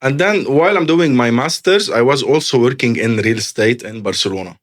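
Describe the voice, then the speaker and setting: A man's voice: medium pitch, a little fast. A man in his thirties from Lebanon, a podcast guest in real estate sales, talking casually with repetitive, emphatic phrasing in English.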